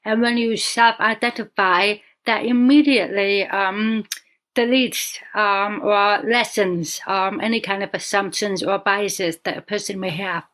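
A woman's voice: medium pitch, steady tone, a little slow, fairly bright tone. A woman with a deaf accent, a podcast guest speaking earnestly in casual conversation, with frequent ums.

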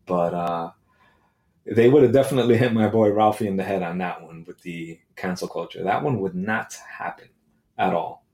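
Low-ish voiced guy ranting solo, swears a lot, sarcastic, rambly. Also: moderate pace, a little mellow. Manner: crude, sarcastic, profane. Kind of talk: casual solo rant.

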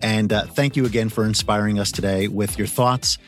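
A man's voice: on the low side, steady tone, fairly quick, soft background music. A middle-aged man hosting an interview podcast, encouraging and speaking with frequent fillers. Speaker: podcast host.

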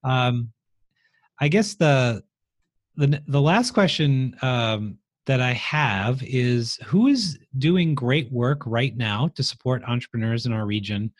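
A man's voice: medium pitch, expressive, slow, no room sound, studio quality. A man hosting a podcast interview, talking casually and laughing easily. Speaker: podcast host.

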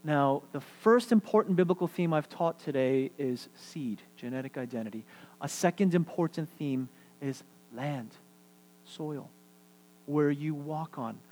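Earnest New preacher, higher-pitched man. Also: lively intonation, unhurried, a little mellow, poor audio. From New York City. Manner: earnest, repetitive, drops to near-whisper for emphasis. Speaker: pastor. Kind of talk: sermon.

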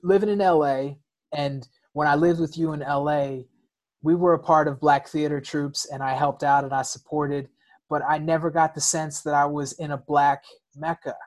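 A man's voice: a little high, fairly even intonation, moderate pace. A man from the United States talking candidly about himself in a conversation, as a guest, sometimes repeating words as he searches for phrasing. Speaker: interview guest. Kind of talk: candid personal storytelling in conversation.

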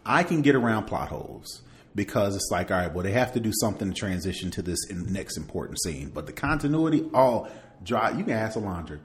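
A man with a fairly low voice talks as a podcast co-host. His delivery is casual and conversational. He is animated and emphatic, repeating words to land his point. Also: brisk.

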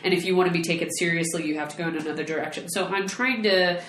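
A woman's voice: fairly low pitch, rapid-fire, a bit bright, slight background noise. Chatty woman in an echoey room. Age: late 20s. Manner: chatty, trailing off with restarts and laughs. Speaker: podcast co-host. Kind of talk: casual chat.

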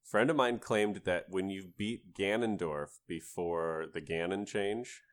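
A man's voice: deep voice, a little slow. A man hosting a podcast, telling things in a casual, conversational storytelling way. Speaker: podcast host.

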